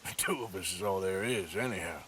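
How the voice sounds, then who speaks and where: An actor playing a weary, gruff American outlaw with a Western drawl in a video game, terse and dryly sardonic. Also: male voice, deep voice, a bit bright, slight background noise. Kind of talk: dramatic video game acting.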